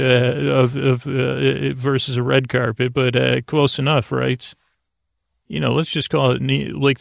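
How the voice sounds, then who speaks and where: A man with a gravelly, creaky voice hosting a sleep podcast, rambling through an absurd bedtime monologue in a halting, stammering way that wanders into tangents. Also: medium pitch, no echo, clean studio sound.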